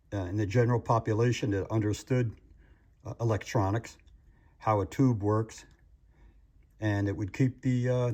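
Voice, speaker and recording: A man's voice: lowish voice, mellow. An older man with an American accent giving an informal, folksy show-and-tell narration as a host, explaining things as he goes. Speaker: host of a vintage collectibles show.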